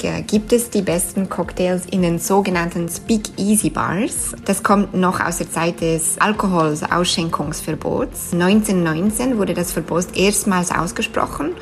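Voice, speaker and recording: A woman with a Swiss German accent answers interview questions in a fairly low voice. She thinks aloud, pausing on fillers and restarting her sentences. Soft music plays faintly behind her. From Switzerland. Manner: thoughtful, with frequent fillers and restarts. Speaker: interview guest.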